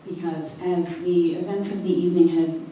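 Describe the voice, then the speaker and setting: A woman's voice: on the low side, steady tone, normal speed, warm tone. A woman finishing a personal story on stage, with rhythmic punchline delivery. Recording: echoey room mic, far off, faint noise.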